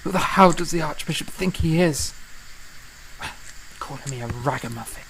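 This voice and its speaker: Woman playing a blustering, low-voiced young composer. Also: normal speed, fairly bright tone, rough recording. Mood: angry, grumbling. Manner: blustering, exclamatory, groaning. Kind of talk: audio drama acting.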